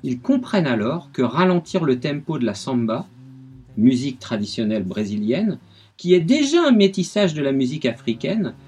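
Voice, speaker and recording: A man's voice: medium pitch, very animated, moderate pace, a little mellow, slightly rough. A man giving a radio music history segment, telling the story with enthusiasm.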